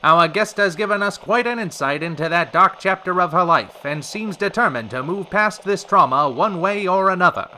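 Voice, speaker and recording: A man's voice: high-pitched, lively intonation, normal speed, hardly any pauses, sharp tone, a little hiss or hum, heavily acted. A man acting as a genial radio show host, with folksy, theatrical patter in an old-time radio style. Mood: smooth showmanship.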